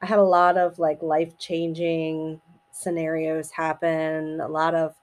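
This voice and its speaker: Slightly raspy, lower-pitched middle-aged woman podcaster. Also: completely flat delivery, a little mellow. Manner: hesitant, reflective, halting. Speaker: podcast host. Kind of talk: casual personal podcast monologue.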